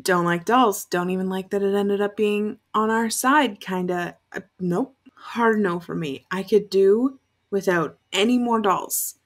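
A woman's voice: medium pitch, unhurried. Blunt young woman reacting casually. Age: young adult. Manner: blunt, sweary, animated reactions. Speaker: reaction video host. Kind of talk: casual candid reaction commentary. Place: small room at home.

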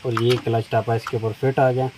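A middle-aged man talks at an unhurried pace while his hands work on a tool. His voice stays level and barely rises or falls. He is recorded on a phone held over a shop counter, with clear background noise in the recording. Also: mid-range voice, little pitch movement. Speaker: tool shop seller demonstrating a chainsaw. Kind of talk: step-by-step product demonstration.

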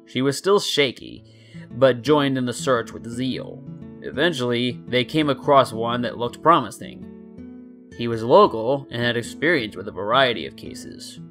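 A man in his twenties narrates a story in a scripted, dramatic reading. His voice sits at an average male pitch, with instrumental guitar music playing behind it. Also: fairly bright tone. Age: 20s. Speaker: story narrator and channel host.

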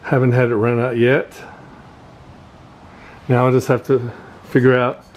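A man giving a casual, unscripted hands-on gear walkthrough as a vlogger, pausing often mid-phrase. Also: mid-range voice. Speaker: vlogger showing fishing gear. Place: indoor garage workshop. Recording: steady background noise, room ambience.

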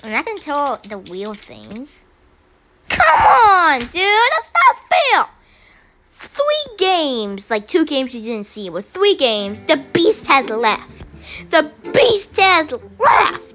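Excitable high-voiced woman gamer. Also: takes it slowly, background noise. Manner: excitable, chatty, with sudden high squeals. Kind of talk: casual gameplay commentary. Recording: game music behind. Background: game music.

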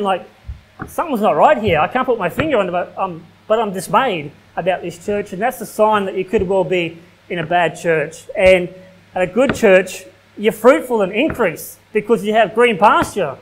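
A man in his thirties with an Australian accent, preaching a sermon in a small church hall and reading scripture aloud between casual remarks. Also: high voice. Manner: preaching at a pulpit, reading King James verses aloud, casual fillers like "like". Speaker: pastor.

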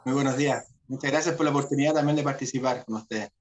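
A man's voice: mid-range voice, quick pace, slight background noise. A man in his thirties from Santiago with a Chilean accent, answering conversationally as a guest on a video call. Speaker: interview guest, orchestral clarinetist. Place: indoor room on a video call. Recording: slightly dull video-call audio.

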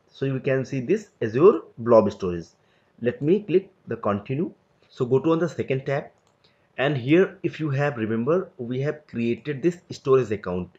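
A man talking through a screen-recorded software lesson at a middle pitch. He explains each click step by step in a loose, unpolished way. The audio is a bit dull and lo-fi. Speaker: tutorial instructor.

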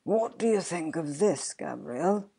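A low, slow-speaking goblin voiced by a woman, stern and taunting, straight out of a storybook. The tone is dark and deliberate, full of unearthly menace. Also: animated, breathy edge, heavily acted. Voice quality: deep. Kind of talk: dramatic storybook reading.

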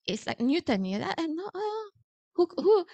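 A woman's voice: very high voice, very animated, a little slow, mellow. A middle-aged woman with an Indonesian accent gives a public lecture in a chatty, self-deprecating way, with many fillers, on a handheld mic in a lecture hall. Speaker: guest lecturer.

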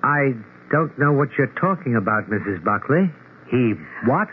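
A practical, skeptical man played by an actor in a 1970s radio drama. His medium-pitched voice probes briskly and politely. The recording is narrow and tinny, with faint noise. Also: lively intonation, lightly acted. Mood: reassuring, probing. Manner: brisk, polite, probing questions. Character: sensible, skeptical male friend of the doctor. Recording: tinny, noisy old radio broadcast.

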